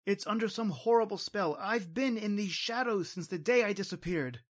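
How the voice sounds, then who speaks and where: A man's voice: sits high, normal speed. A man playing a doomed, tormented uncle in an old-time radio horror drama, with grave, pleading melodrama. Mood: grave despair. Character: doomed, tormented uncle trapped in a haunted shadow world.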